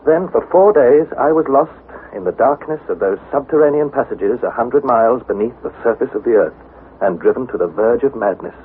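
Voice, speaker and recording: Actor as earnest young adventurer, swooping old-radio voice. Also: male voice, medium pitch, expressive, a little fast, natural voice. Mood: grave, suspenseful. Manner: formal, precise, dramatic storytelling. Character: Harry, earnest young adventurer narrating his perilous journey. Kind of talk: vintage radio drama narration and dialogue. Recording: tinny, noisy 1960s radio recording.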